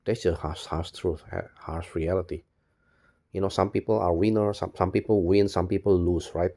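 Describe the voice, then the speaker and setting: A man who is a non-native English speaker, giving a spontaneous, rambling monologue as a video blogger, halting and often repeating his words. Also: low voice, fairly even intonation, mellow. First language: not English. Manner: repetitive, halting, restarts words and phrases often.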